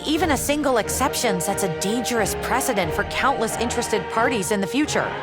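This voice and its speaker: A woman performs a by-the-book female official for a video game. Her mid-range voice is courteous and formal as she explains, and loud music plays behind her. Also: medium pitch, lightly acted. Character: polite, formal, by-the-book female registration clerk.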